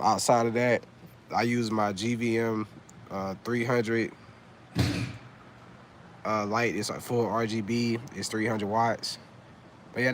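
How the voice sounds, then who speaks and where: A man's voice: fairly low pitch, slight background noise. Laid-back slangy man in his thirties. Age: 30s. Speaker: vlogger on a livestream. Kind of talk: casual livestream chat.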